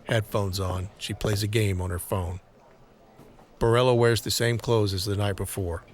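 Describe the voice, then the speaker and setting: A man narrating a scripted audio drama in a deliberate, dramatic manner. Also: fairly low pitch, steady tone. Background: dramatic music.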